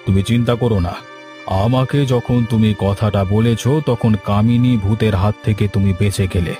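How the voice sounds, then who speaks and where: Casting: a man as an authoritative cartoon village chief, low and dark-toned, stern, theatrical declarations. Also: steady tone, normal speed, soft background music, natural voice. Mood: grave, reassuring. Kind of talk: Bengali cartoon voice acting.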